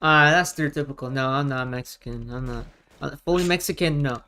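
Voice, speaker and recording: A man from the United States giving casual gameplay commentary, rallying teammates with quick repeated calls. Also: mid-range voice, bright, forceful tone. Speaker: gamer streamer.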